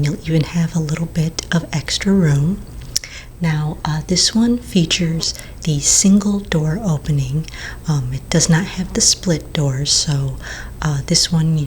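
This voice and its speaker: Woman doing a soft whispery vampire sales lady, asmr roleplay, real low voice, gentle n patient, rumbly noise in the background. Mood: gentle, helpful. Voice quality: soft, breathy, mostly whispered. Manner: gentle, unhurried, reassuring. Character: friendly, patient female vampire coffin sales agent.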